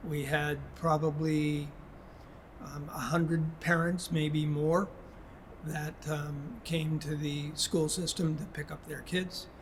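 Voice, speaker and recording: A man with a fairly high voice gives a formal address as a school official. He speaks very slowly and haltingly, choosing his words with care. There is faint noise behind him. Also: level tone. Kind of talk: public address, prepared statement spoken haltingly.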